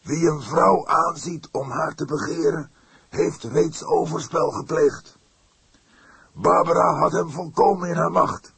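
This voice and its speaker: A man's voice: on the high side, steady tone, a little slow, gravelly, fairly bright tone, slightly rough, dry recording, pitched above the performer's own voice, exaggerated voice. A man voicing a fervent, pious religious father in an audiobook, speaking in a solemn, declamatory way. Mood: fiery, solemn. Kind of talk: audiobook character acting.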